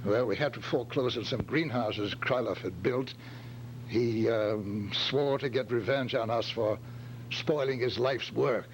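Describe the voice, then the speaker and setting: A man's voice: mid-range voice, a little slow, natural voice, rough recording. A man playing an easily startled, well-off businessman with a hesitant, stammering delivery in an old-time radio detective drama. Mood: anxious recalling. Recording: faint hum and radio-like background noise.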